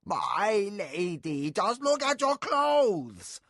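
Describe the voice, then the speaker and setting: A man voicing a fussy, scolding old woman who was once a nurse, shrill and reproachful. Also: very animated, normal speed, husky, no echo, studio quality, full character voice.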